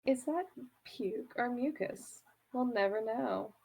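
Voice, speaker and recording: Teasing woman tossing quick asides. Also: fairly high pitch, gravelly, a little mellow, breathy edge, rough recording. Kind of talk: casual chat.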